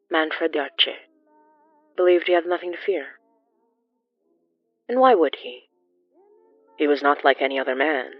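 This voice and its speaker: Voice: mid-range voice, no echo. Theatrical horror narrator, dry and slightly dull recording. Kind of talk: scripted dramatic gothic horror narration.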